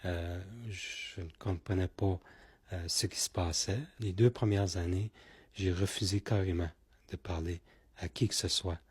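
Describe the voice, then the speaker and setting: A man whose first language is Cree, giving slow, halting testimony as a survivor. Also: low-pitched, steady tone, slightly rough, dry recording. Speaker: residential school survivor.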